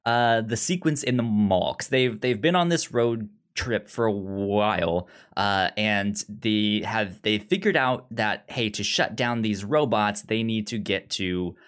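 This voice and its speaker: Guy on a movie podcast, talks slow, kinda stammers and restarts words, casual chat. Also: lowish voice, fairly bright tone, clear, resonant tone. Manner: halting, stammering with repeated word starts. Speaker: film podcast host.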